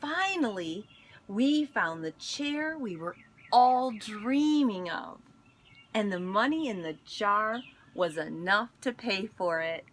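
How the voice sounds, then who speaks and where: A woman reading a picture book aloud in an expressive storytelling manner, pausing to speak to young listeners. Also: medium pitch, a little hiss or hum. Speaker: school instructional coach reading aloud.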